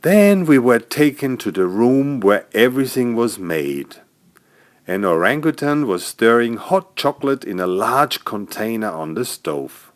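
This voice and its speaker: Slow, animated man reading children's stories. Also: mid-range voice. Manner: expressive storytelling for small children. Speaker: author narrating own stories. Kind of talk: scripted children's bedtime story narration.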